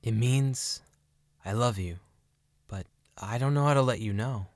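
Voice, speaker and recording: A man's voice: medium pitch, measured pace, lightly acted. A man playing a shy, lovestruck college-aged kitchen worker in an audio story, speaking tenderly and earnestly. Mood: shy, confessing. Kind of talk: scripted audio story acting.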